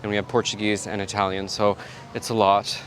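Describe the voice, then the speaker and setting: A man's voice: lowish voice, little pitch movement, brisk, fairly bright tone, faint music behind. A man chatting casually and rambling a bit as he vlogs his day. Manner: chatty, rambling, self-talking. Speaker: vlogger. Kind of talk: casual vlog chat.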